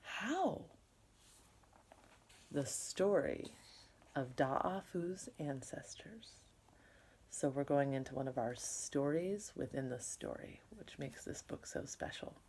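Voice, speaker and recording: A woman with a low-pitched voice speaks as a teacher reading to her class. She delivers the story expressively and slips into casual, explanatory asides for the listeners. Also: slow pace, a little mellow, whispered. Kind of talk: read-aloud storytelling with casual asides to students.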